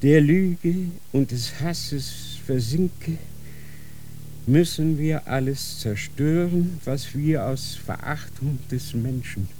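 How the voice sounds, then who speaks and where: A dying old Grand Inquisitor dictates his last decree in a radio play. He is feverish and repentant, his voice set a little high, and he speaks very slowly and solemnly. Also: dark tone, slight background noise. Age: older. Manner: slow, solemn dictating with sudden feverish outbursts. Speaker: actor in a radio drama. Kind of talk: radio drama acting.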